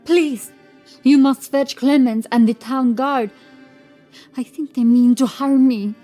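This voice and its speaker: A woman's voice: sits high, fairly even intonation, slow pace, dark tone. Woman playing scared prioress in a medieval horror story, begging to be believed, music underneath. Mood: frightened, urgent. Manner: pleading, protesting her innocence. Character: frightened, pleading medieval prioress accused of witchcraft. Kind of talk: dramatised horror audiobook acting.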